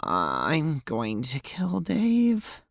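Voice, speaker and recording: A woman's voice: sits low, wildly swooping pitch, extremely slow, vocal fry, dry recording, studio quality, exaggerated voice. A woman playing a friendly, naive teenage girl in an audio drama, earnest and a little hesitant, on a noisy home recording. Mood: grim. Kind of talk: audio drama character dialogue.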